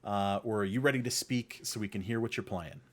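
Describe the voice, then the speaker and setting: A man's voice: fairly low pitch, a little fast. A man hosting a podcast, casually moderating the conversation among friends. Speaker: podcast host.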